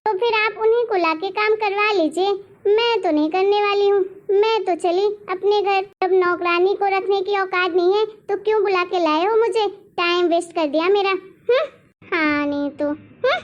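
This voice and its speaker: A brash cartoon housemaid with a piercingly high voice, put on by a woman. She is sassy and sing-song, haggling without any patience, on a slightly dull recording. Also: normal speed. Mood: indignant. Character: brash, haggling housemaid who takes no nonsense. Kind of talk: Hindi cartoon family drama voice acting.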